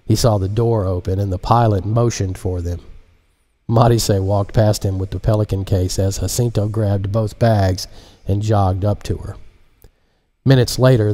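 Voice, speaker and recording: Man in his fifties, low pitch, soft warm tone, deliberate audiobook narration, close mic. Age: 50s. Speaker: author reading his audiobook. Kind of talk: scripted narration, audiobook reading. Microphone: large studio microphone close in front.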